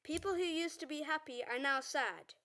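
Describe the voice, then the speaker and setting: A woman's voice: sits high, moderate pace, pushed and bright. A woman playing an earnest young messenger reciting a warning to a town in a radio play.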